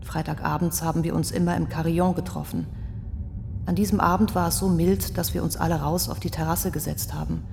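An actress playing a young woman architect who survived a terror attack, giving halting, restrained testimony in a radio play. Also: lowish voice, steady tone, vocal fry, a little hiss or hum. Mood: tender, wistful. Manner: halting, restrained, hushed in places. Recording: long reverberant hall, music underneath. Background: music.

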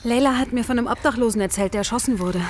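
A woman's voice: fairly high pitch, rapid-fire, slight background noise, close to an everyday voice. A woman playing a cool, probing amateur sleuth, terse and inquisitive, in a radio crime drama.

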